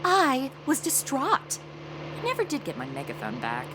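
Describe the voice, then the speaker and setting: A woman's voice: sits high, wildly swooping pitch, moderate pace, a bit bright, slight background noise, heavily acted, poor audio. An actress playing a brash, clueless, celebrity-obsessed young woman, flippant and melodramatic in comedic audio drama banter. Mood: snarky, oblivious.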